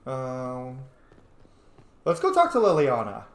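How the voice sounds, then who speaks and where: A man's voice: mid-range voice, huge pitch swings, slow pace. A man doing casual gameplay commentary as a streamer, chatty and playful, often correcting himself. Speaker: video game streamer. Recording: echoey room.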